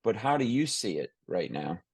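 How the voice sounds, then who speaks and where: A man's voice: lowish voice, sing-song, moderate pace, vocal fry, a little mellow, slightly rough, no echo, clean studio sound. An American man hosting a conversational podcast interview, talking emphatically and often restarting and repeating his phrases.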